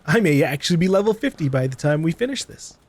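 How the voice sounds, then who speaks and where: A man with a slightly high voice commentates on a video game. He rambles casually and playfully, thinking out loud. Faint game noise sits behind him. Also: lively intonation, quick pace. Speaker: gaming video host. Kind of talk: casual let's play commentary. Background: faint game sounds.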